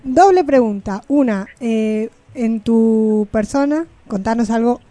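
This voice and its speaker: A woman's voice: fairly high pitch, slow pace, warm tone, no echo. An Argentine woman hosting a radio show, interviewing in a casual, conversational way.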